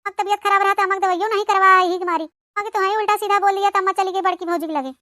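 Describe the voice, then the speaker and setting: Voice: very high-pitched, steady tone, quick pace, pushed and bright, no room sound. A cartoon voice playing plaintive village women of a squabbling family, with exclamatory chatter in an Awadhi accent from eastern Uttar Pradesh. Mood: aggrieved. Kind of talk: cartoon comedy dialogue.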